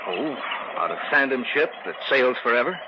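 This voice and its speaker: Earnest American male writer played by an actor, highly animated mid-range voice, dramatic vintage radio horror, heavy background noise. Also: a little fast, close to an everyday voice. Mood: uneasy. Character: earnest male writer recounting an eerie tale. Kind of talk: 1940s-50s American radio acting. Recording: noisy, muffled old radio recording.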